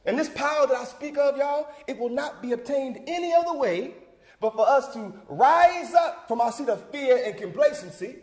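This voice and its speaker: A man's voice: very high voice, lively intonation, moderate pace, a little mellow. A man acting the part of a fervent older community leader, giving a folksy, rousing, preacherly speech in a theatrical monologue. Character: John Wesley Dobbs, fervent older civic leader rallying a church crowd. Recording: echoey hall with long reverb.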